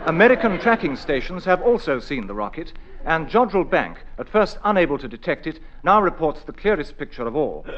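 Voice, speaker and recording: Formal newsreader, noisy recording. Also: male voice, fairly high pitch, big pitch swings. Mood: formal gravity. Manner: formal, measured announcing. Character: solemn, formal British male radio newsreader. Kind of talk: mock news bulletin in radio comedy. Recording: dull, clearly noisy radio recording with clicks.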